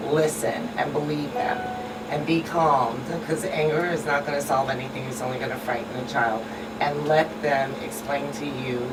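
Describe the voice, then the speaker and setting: A woman co-hosting a radio talk show, discussing in a small studio. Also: a bass voice. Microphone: studio microphone on boom arm. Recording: distant mic, loud air-conditioning hum.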